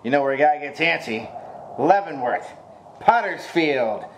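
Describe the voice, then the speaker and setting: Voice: medium pitch, animated, a little slow, slight background noise, rough recording. An actor playing a jittery, bossy gangster boss who snaps out tough-guy slang in a noir radio comedy. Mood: snappish, edgy.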